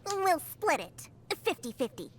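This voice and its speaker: A greedy little girl merchant from a video game, extremely high in pitch and swinging up and down in a gleeful sing-song. She takes her time over the words, with faint noise behind her. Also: sing-song, a little slow, exaggerated voice. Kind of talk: video game character voice lines.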